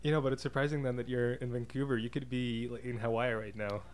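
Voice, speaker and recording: A man's voice: medium pitch, level tone, quick pace. A man hosting a podcast interview in a casual, conversational style. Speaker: podcast host. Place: podcast booth inside a diner. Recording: clear background ambient noise.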